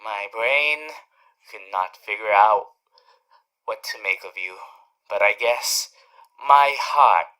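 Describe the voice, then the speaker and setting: A performer voicing a doting, teasing male radio demon in an ASMR roleplay, speaking in a theatrical, courtly way with drawn-out emphasis. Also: medium pitch, bright, forceful tone, smooth, rough recording. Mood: bemused affection. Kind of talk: ASMR character roleplay to the listener.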